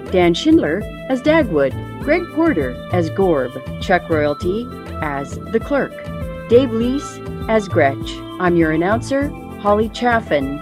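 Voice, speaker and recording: A woman working as an announcer, delivering scripted radio credits. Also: medium pitch. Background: music.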